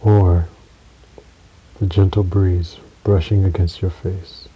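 A man narrating a guided relaxation meditation in a soothing, measured way, with long pauses between short phrases. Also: sits low, flat delivery, breathy edge. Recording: dull recording with audible hiss.

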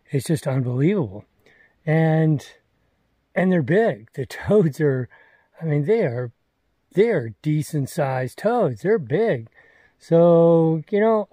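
Older male vlogger, sixties, slow and rambling, mellow tone, dry close sound. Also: fairly high pitch, husky, dry recording. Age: 60s. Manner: unhurried, rambling, frequent fillers and restarts. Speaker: thru-hiker vlogger. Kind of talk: casual trail vlog. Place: forest trail outdoors; wooden hostel room indoors. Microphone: phone or camera held at arm's length.

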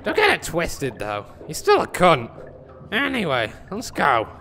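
A man's voice: on the high side, wildly swooping pitch, measured pace, bright, forceful tone, a little hiss or hum, exaggerated voice. A man playing a brash, aggressive, foul-mouthed gnome in an audio comedy drama. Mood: gruffly mocking.

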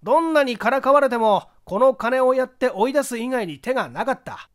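A man's voice: extremely high pitch, lively intonation, brisk, a bit bright, pitched above the performer's own voice. A man playing a hasty, confident newspaper reporter in a mystery story, eagerly and emphatically laying out his deductions. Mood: excited, assertive.

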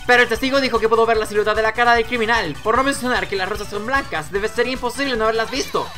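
A man's voice: very high-pitched, fast, bright, forceful tone, faint music behind, pitched above the performer's own voice. A man voicing an earnest, determined young defence lawyer in a courtroom video game, arguing insistently. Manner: insistent, argumentative.

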